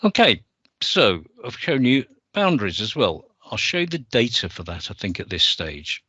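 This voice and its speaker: A man from the UK presenting a software demo over a screen share, explaining step by step and correcting himself as he goes. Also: lowish voice, very animated, moderate pace, breathy edge, dry recording, muffled recording.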